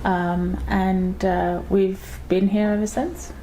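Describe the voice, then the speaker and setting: A woman from Tuvalu, telling her family's move in a relaxed manner with soft fillers. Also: mid-range voice, slow, a little hiss or hum, poor audio. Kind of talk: documentary interview.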